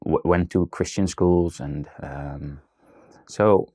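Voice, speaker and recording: A man's voice: deep voice, slow, warm tone. A man in his thirties with a Dutch accent, speaking as an interview guest in a hesitant way, with many fillers and restarts. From Netherlands. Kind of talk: casual interview talk.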